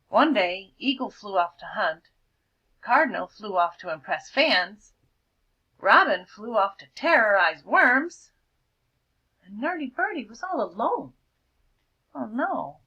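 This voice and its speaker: A woman reads a picture book to children in a bright voice with lively, swooping pitch. She stretches words for effect and slips into hushed asides to explain things, like a schoolteacher. The recording is slightly muffled. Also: husky. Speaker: elementary school teacher.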